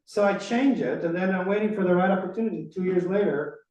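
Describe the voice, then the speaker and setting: Israeli rabbi in an echoey hall. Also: male voice, sits high, fast, a crowd in the background. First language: Hebrew. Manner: conversational, many restarts and "right?" tags. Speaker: rabbi leading a study session. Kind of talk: casual teaching talk to a congregation. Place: synagogue hall. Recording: echoey room, mic at a distance.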